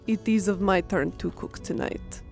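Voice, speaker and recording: A man's voice: high-pitched, normal speed, creaky, a little mellow, soft background music, voice pushed up. A man voicing a devoted tailed alien woman who speaks in stilted, oddly ordered phrasing, in an audiobook fantasy story. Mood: earnest, pleased. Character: young female tailed alien, devoted, speaking broken formal English.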